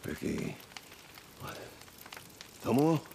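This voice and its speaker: An actor playing a stubborn, wounded biker drifter in a video game, weakened and in pain. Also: male voice, extremely slow, warm tone, a little hiss or hum. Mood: weak, dazed.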